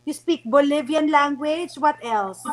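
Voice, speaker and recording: A woman's voice: high-pitched, unhurried, soft background music. A woman from the Philippines, hosting a casual interview in an effusive, affirming way. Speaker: interviewer, host.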